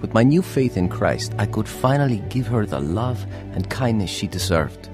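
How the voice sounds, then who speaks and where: An actor playing a gentle, born-again young former boxer in a radio drama. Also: male voice, on the low side, expressive, moderate pace, music in the background, natural voice. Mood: reflective. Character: reformed young ex-boxer, gentle, born-again suitor narrating his life.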